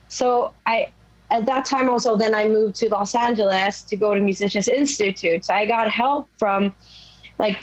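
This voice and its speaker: A young woman from Sweden with a naturally raspy voice, a rock singer chatting casually in an interview, rambling with many fillers. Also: a little high, dry recording, rough recording. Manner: rambling, lots of "like" and "you know". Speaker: rock vocalist guest.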